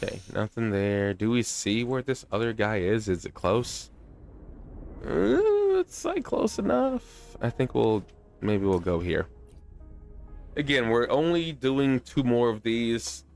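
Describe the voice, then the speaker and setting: A man's voice: medium pitch, animated, slow, vocal fry, darkish tone. A man streaming a video game with casual, chatty commentary, playful slang and a self-deprecating streak. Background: music.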